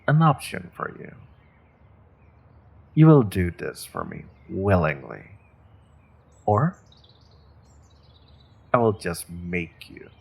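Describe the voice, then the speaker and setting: A man playing a cruel rogue sorcerer in a fantasy audio drama, taunting a captive with mock politeness, often dropping toward a breathy whisper. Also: low-pitched, full character voice. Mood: mocking threat. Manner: slow, taunting, mock-polite, savoring threats with pauses. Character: cruel, menacing rogue sorcerer, male captor taunting his prisoner.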